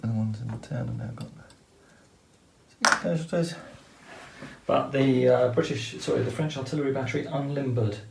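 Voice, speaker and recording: A man's voice: medium pitch, normal speed, creaky, reverberant, background noise. A man methodically explaining each step of a tabletop wargame turn, talking through the rules as he plays. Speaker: wargame player explaining turns. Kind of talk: tabletop game commentary.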